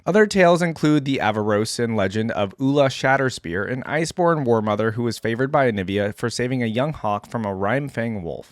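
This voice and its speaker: A man's voice: medium pitch, dry recording. Man hosting reactions, close dry sound. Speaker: YouTube reaction host. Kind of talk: reaction commentary mixed with reading lore aloud.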